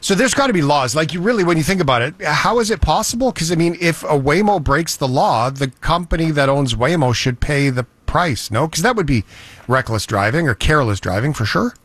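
A man hosting a talk show, telling stories in a chatty, animated way. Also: a little high, fairly quick, no room sound. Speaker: show host. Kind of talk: casual talk-show banter.

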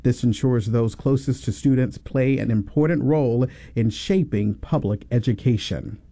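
Measured male news anchor, mellow tone. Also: mid-range voice. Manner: even, measured news reading. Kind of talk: scripted newscast.

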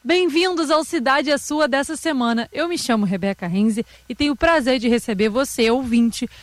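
A woman's voice: high-pitched, moderate pace. A woman, hosting a radio programme and introducing guests and projects. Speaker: radio show host.

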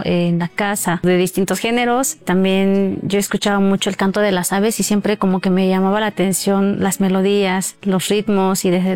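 Woman, southern Mexican accent, Mazatec first language, crisp resonant voice, conversational interview guest, faint music behind. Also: mid-range voice, darkish tone. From Oaxaca, Mexico. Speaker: singer-songwriter interview guest.

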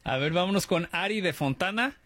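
A man's voice: high-pitched, animated, brisk, a bit bright. A US-born man with a Mexican-American Spanish accent hosting a radio talk show, his words sometimes tumbling. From United States. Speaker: radio host. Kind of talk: casual radio chat.

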